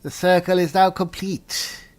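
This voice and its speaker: A man's voice: high voice, steady tone, measured pace, a little mellow. Man roleplaying through a fantasy game. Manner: polite, musing aloud, reads spell descriptions out. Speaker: gaming video host and player. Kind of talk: in-character roleplay mixed with game commentary.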